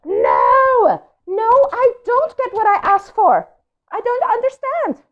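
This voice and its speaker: A woman voicing a grumpy, moody pigeon with a hot temper, complaining loudly and dramatically in a children's storybook. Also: very high-pitched, huge pitch swings, takes it slowly, muffled recording, pitched above the performer's own voice, exaggerated voice. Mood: indignant, complaining. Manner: loud, dramatic, whiny complaining. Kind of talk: storybook read-aloud for children.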